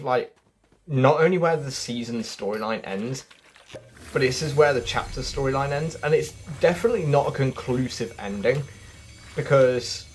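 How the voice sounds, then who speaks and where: A man's voice: medium pitch, a little hiss or hum. A man giving casual, chatty gaming commentary, addressing his viewers directly as a video host. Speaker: video game news YouTuber. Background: background music.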